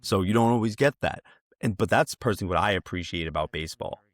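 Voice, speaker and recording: A man's voice: low-pitched, lively intonation, quick pace, no echo, studio quality. An American man hosting a conversational interview, asking wordy questions that he rephrases as he goes. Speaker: interviewer, host.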